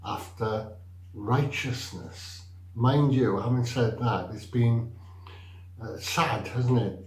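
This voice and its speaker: An older man giving a daily devotional talk, preaching slowly and carefully with frequent pauses and fillers. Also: medium pitch, a little mellow. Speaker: church devotional speaker. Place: small indoor room, plain wall. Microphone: webcam or camera facing him at desk. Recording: roomy echo.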